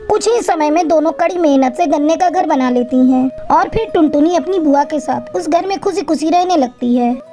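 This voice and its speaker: A woman's voice: high-pitched, normal speed, few pauses, warm tone. A woman reading scripted narration for a children's cartoon moral story. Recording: music behind the voice.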